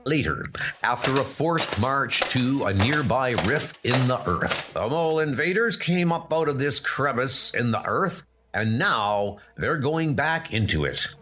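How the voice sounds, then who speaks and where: A man's voice: mid-range voice, animated, unhurried, noisy background. A man giving dramatic, suspenseful narration for a comic book. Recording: music playing underneath.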